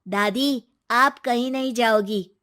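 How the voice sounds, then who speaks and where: Woman as a young boy, high voice, eager, earnest, insistent, devoted grandson, moral-story character. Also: slow pace, fairly bright tone. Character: devoted schoolboy grandson, eager, reassuring, outspoken.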